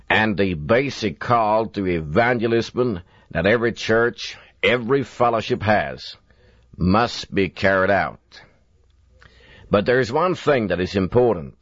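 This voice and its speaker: Slow, deep-voiced older preacher. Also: male voice, fairly bright tone, dry recording. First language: Swedish. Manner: slow, earnest preaching with deliberate emphasis. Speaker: evangelist preacher. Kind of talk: recorded sermon. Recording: dull lo-fi dry recording.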